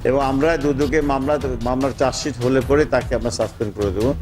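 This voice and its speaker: A Bangladeshi man gives unscripted interview answers as an official. His pitch is average and his pace is quick, with repeated phrases. Music is clearly heard behind him. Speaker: government official being interviewed. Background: background music.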